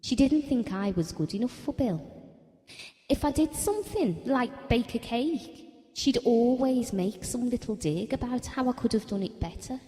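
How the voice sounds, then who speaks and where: An actress playing a devoted working-class wife who reminisces in an audio drama, colloquial, confiding and wistful. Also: female voice, fairly high pitch, lively intonation, normal speed, warm tone, natural voice. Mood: wry. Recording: long reverberant hall sound.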